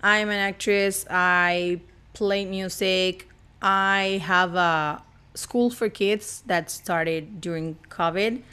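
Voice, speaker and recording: A woman's voice: mid-range voice, steady tone, takes it slowly, sharp tone, clear, resonant tone. A young woman from Argentina with an Argentine accent, chatting playfully as a podcast guest and laughing often. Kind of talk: casual chat.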